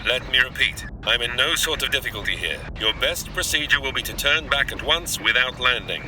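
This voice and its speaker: A man's voice: medium pitch, expressive, brisk, noisy background, natural voice. A man playing a haughty, isolated scientist in a science-fiction audio drama, issuing formal, stern warnings. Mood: stern, aloof. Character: stern, aloof scientist living isolated on a planet.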